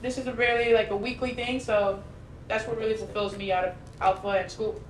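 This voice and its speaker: A woman's voice: fairly high pitch, little pitch movement, a little fast. A young woman from Colombia chatting in a laid-back, friendly way on a student podcast. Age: young adult. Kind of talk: casual podcast chat. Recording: echoey room, faint noise.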